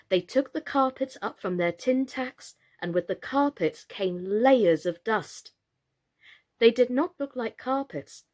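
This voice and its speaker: A woman reading a children's story aloud as scripted, expressive narration. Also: mid-range voice. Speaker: storyteller, reader.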